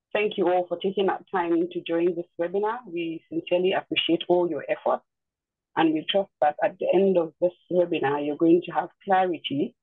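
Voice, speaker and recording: A woman's voice: on the low side, no room sound. A woman speaks as a webinar host in a polite, formal manner, welcoming and introducing. Her voice comes through dry and thin, like a phone line. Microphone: video call. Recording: tinny, phone-like webinar audio.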